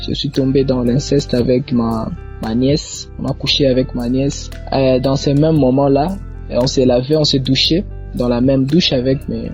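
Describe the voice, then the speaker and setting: A young man with an eastern Congolese French accent gives a personal religious testimony, haltingly, repeating words as he goes. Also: mid-range voice, darkish tone. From eastern Democratic Republic of the Congo. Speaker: church member giving testimony. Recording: muffled lo-fi audio under faint music. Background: faint music.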